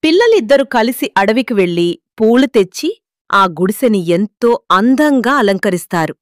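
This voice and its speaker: A woman's voice: a little high, big pitch swings, moderate pace, no room sound, studio quality. A woman narrating a scripted moral story. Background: background music.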